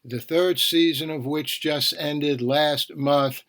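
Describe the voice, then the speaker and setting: A man's voice: on the high side, fairly even intonation, slow pace, fairly bright tone. A man hosting a podcast, talking conversationally with rambling asides and self-corrections. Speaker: podcast host.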